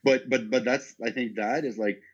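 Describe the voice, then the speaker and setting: A man's voice: mid-range voice, steady tone, normal speed, husky. A young man from Norway, a guest chatting casually in an interview, often stammering over restarts and laughing. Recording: dull lo-fi sound.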